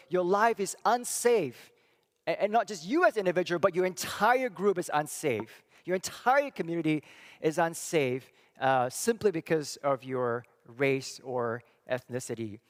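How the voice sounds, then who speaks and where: A middle-aged man from Singapore giving a church talk, thoughtful and halting, with many fillers and restarts. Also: on the high side. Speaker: church speaker and psychology professor. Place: church hall. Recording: long reverb of a hall.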